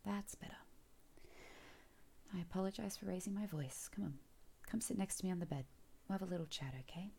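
A woman voicing a teasing, commanding middle-aged mother in a solo audio roleplay. She speaks softly and coaxingly, often in a whisper, close to the mic. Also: mid-range voice, a little fast, vocal fry, breathy edge.

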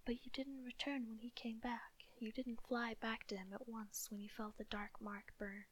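A woman whispering the lines of a fanatical, hot-tempered witch who sneers and accuses, as in a quiet audiobook reading. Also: a little high, level tone, moderate pace, lightly acted. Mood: accusing. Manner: whispered throughout.

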